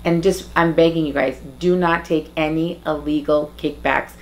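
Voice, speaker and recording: A woman's voice: deep voice, steady tone, normal speed. A woman from Guyana, an interview guest giving direct advice, emphatic and pleading, repeating her key points. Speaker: interview guest advising the community on legal cases.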